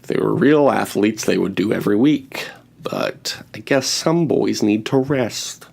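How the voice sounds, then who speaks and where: A man's voice: on the low side, lively intonation, a little slow, creaky, darkish tone, slightly rough. A man in his thirties hosting a podcast in casual comedic banter, joking and poking fun at himself. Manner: joking, self-mocking. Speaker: podcast host.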